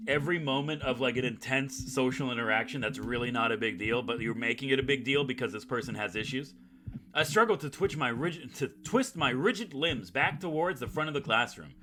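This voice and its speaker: A man hosting a gaming video, reading a visual novel aloud like a storyteller and dropping in casual, jokey commentary. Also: medium pitch. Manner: jokey asides, dramatic storytelling read. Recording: music playing behind the voice.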